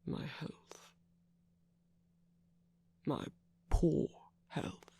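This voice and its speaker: A man playing an affectionate, concerned villain in an intimate ASMR roleplay, speaking softly and deliberately with a husky, breathy, creaky voice. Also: fairly high pitch, full character voice. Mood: wistful, concerned. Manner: soft, deliberate, tender coaxing with whispered phrase endings. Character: affectionate, concerned male villain, slim and sickly.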